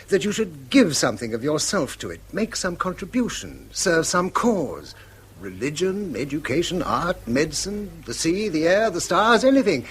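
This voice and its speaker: A man's voice: a little high, slight background noise. An actor playing an indignant middle-aged British schoolmaster father, articulate and bitterly rhetorical, in a radio drama. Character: indignant, idealistic schoolmaster father, quick to anger. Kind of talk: stage-style radio drama acting.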